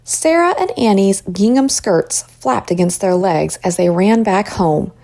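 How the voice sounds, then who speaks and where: A woman reading a children's story aloud as an expressive narrator. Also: medium pitch, a little slow, gravelly. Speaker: storybook reader.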